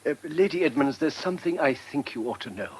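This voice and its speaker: An actor playing a timid, deferential country vicar in a radio comedy drama, hesitant and apologetic, with a received pronunciation English accent. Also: male voice, fairly high pitch, lively intonation, quick pace, a little mellow, slight background noise, natural voice. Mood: awkward sympathy.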